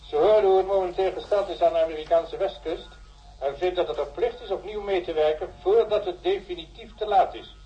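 Through a dull, filtered call link comes a deferential male assistant. He is rather high-voiced, polite and dutiful, reporting to his superior in a science fiction radio drama. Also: a little fast, warm tone. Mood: dutiful, apologetic. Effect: radio or telephone filter. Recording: muffled radio-link sound.

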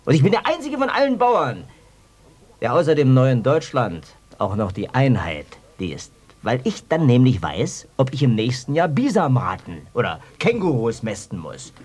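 An actor playing a bitter, sardonic farmer who rants colloquially and ironically in a German radio play. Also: male voice, medium pitch, big pitch swings, normal speed, darkish tone, husky.

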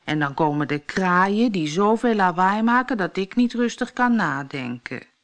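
A woman's voice: mid-range voice, lively intonation, unhurried, natural voice. A woman voicing a gloomy, self-pitying male apple tree in a storybook, grumbling and complaining. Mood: sulky grumbling. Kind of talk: fairy-tale storybook voice.

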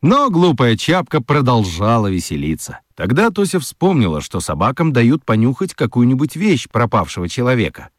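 A man narrating a children's radio play as an expressive storyteller. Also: mid-range voice, moderate pace, hardly any pauses, dry recording, clean studio sound.

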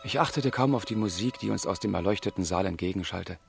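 Ardent young male storyteller, low-voiced. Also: fast talker, gravelly, faint music behind. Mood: rapturous. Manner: lyrical, expressive. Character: ardent, romantic young man narrating letters to a friend. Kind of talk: dramatised literary narration, radio play.

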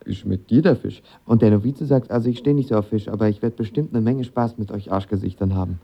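An actor playing a lovestruck, impulsive young actor of twenty in a radio drama, talking in a colloquial, confiding way. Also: male voice, on the low side, fast, creaky, breathy edge, dry recording, highs cut off. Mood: nervous, joking. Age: young adult. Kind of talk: radio drama narration and dialogue.

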